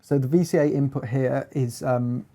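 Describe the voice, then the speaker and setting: A man giving a casual hands-on demonstration as a gear reviewer, explaining in a halting, hesitant way. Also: mid-range voice, steady tone, dark tone.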